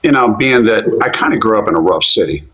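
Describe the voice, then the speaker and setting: A man's voice: lowish voice, expressive, fast, bright, forceful tone, breathy edge. A man in his fifties from Philadelphia, chatting candidly and directly in a casual live stream. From Philadelphia, USA. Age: 50s.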